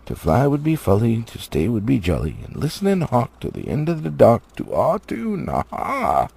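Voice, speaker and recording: A man's voice: medium pitch, wildly swooping pitch, normal speed, creaky, a little mellow, breathy edge, full character voice. A man voicing merry, teasing woodland elves from a storybook in a playful, singsong way. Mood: merry singing. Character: merry, teasing, singing male elves of the woods. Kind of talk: storybook character voice.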